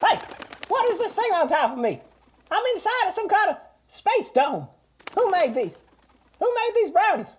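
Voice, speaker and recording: A scruffy junkie bird in a comedy audio drama. The voice is extremely high and small. It rambles crudely in slang, brash and utterly shameless, always on the scrounge. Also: female voice, sing-song, normal speed. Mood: agitated, brash. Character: foul-mouthed junkie bird, brash moocher.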